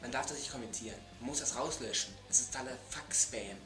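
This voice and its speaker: A man's voice: medium pitch, fast. Exasperated young man in an echoey room. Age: young adult. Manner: emphatic, repetitive, exasperated. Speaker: video blogger. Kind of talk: ranting comedic vlog. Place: bedroom, indoors. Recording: echoey room, music behind.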